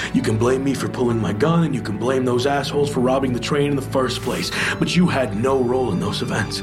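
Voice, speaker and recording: A weary, haunted man weighed down by guilt and love in an audio drama. He speaks slowly and haltingly at a middling pitch, subdued and confessional. Music is clearly heard behind him. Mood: remorseful, tender. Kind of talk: naturalistic audio drama acting.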